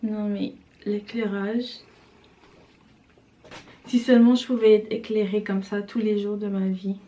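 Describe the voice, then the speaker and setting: A young woman talks loosely and casually to her camera while putting on makeup. Her chat is relaxed and rambling, sprinkled with fillers, in a middle-pitched voice. She sounds like she is in a room at home. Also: measured pace, a little hiss or hum. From Senegal. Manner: chatty, loose, full of fillers like "genre". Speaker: vlogger.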